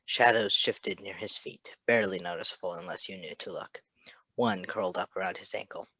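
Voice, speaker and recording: A man's voice: sits low, fairly quick, bright, forceful tone, no echo, low-quality recording. A man reading fan fiction aloud as a narrator, with casual asides.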